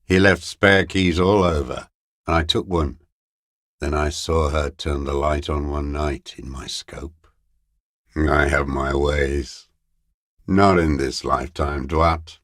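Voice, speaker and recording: An actor playing a bitter, paranoid old communist deserter in a video game, with a weary, gravelly voice and a halting, brooding, contemptuous mutter. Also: male voice, extremely low pitch, fairly even intonation, fairly bright tone, dry recording, studio quality, exaggerated voice. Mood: brooding, furtive. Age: older. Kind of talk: video game character voice acting.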